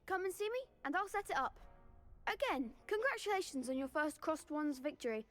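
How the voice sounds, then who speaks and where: An actor playing a proper, encouraging dueling club organizer in a video game, with a theatrical announcer's way of talking. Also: male voice, very high voice, expressive, fairly quick, fairly bright tone, faint music behind. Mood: congratulating. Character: brisk proper male dueling club host.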